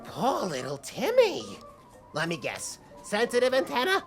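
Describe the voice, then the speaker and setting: A woman's voice: on the high side, huge pitch swings, unhurried, soft background music, full character voice. A woman playing a kindly, fluttery moth apothecary with a folksy, fussing manner in a family audio drama.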